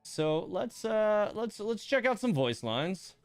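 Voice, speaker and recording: Male game streamer, clear smooth voice, casual and exasperated, muttering asides to chat. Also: sits high, very animated, slow pace, dry recording, faint music behind. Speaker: livestream host playing a gacha game. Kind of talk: casual livestream chat and commentary.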